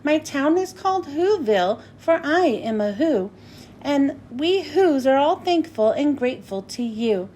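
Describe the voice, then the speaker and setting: A woman's voice: high voice, lively intonation, measured pace, dark tone, a little hiss or hum. A woman voicing a tiny, polite storybook mayor, piping, courteous and grateful. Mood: proud, thankful.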